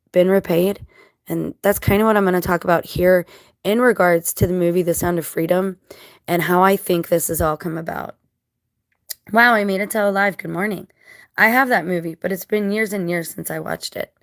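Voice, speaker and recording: A woman with a scratchy, dry-mouthed voice after crying, talking casually to viewers in a halting, reflective, earnest way. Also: mid-range voice, no echo. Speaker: vlogger.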